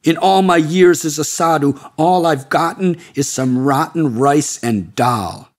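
A man voicing a bitter, angry Indian sadhu in an audiobook, scornful and emphatic, with a husky voice. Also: fairly high pitch, unhurried. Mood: furious. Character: bitter, angry male Indian sadhu of middle age or older.

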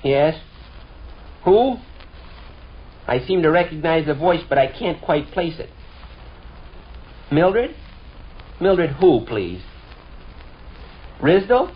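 A man's voice: on the high side, big pitch swings, slow, pauses often, slightly rough, heavily acted. An American man playing an indignant fourteen-year-old boy, mock-formal and exasperated, in 1940s radio comedy style. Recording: dull, muffled 1940s radio recording.

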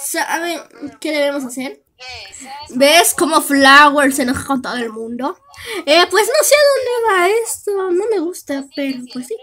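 A woman acts out a squabbling little cartoon object with a high-pitched Latin American Spanish voice. The sound is bright and sharp, and the delivery is unhurried, in the manner of an animated fan dub. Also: full character voice. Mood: deliberating. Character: squabbling animated object characters picking teams.

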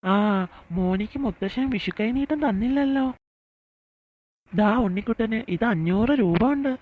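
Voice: mid-range voice, moderate pace, lightly acted. A performer voicing a doting, conspiratorial old grandfather in a cartoon skit, indulgent toward a grandchild. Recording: thin, phone-like narrow sound.

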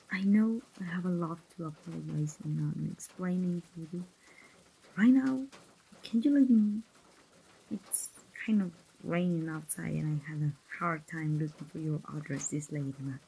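Woman playing a teasing young bestie in an asmr roleplay, really breathy and husky, bit of creak. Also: fairly low pitch, mellow, full character voice, low-quality recording. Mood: apologetic. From Mexico. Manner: playful, familiar, spoken straight to the listener. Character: chatty, teasing young woman reunited with her best friend. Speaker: voice actor.

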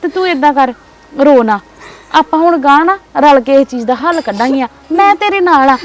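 A woman's voice: high-pitched, animated, normal speed. A woman acting a caring older sister who comforts and advises in a soothing, firm way. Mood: urgent. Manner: soothing, pleading, then firm advice. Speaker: actor. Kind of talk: scripted drama acting. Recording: distant mic with faint music.

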